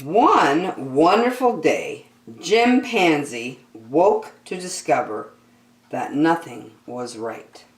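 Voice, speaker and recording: A woman reading a picture book aloud to children as a storyteller, in an expressive style. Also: deep voice, slow. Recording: roomy, echoey sound.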